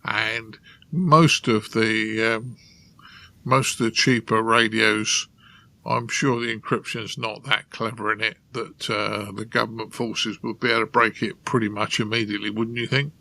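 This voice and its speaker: A man's voice: fairly low pitch, flat delivery, measured pace, gravelly, pushed and bright. A man hosting a podcast panel in casual conversation. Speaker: podcast host.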